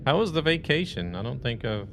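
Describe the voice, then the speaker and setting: A man's voice: mid-range voice, big pitch swings, a little fast, gravelly, a little hiss or hum. A man live-streaming a truck game, chatting in a rambling, conversational way and greeting viewers. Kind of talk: casual live-stream chat while playing a truck game.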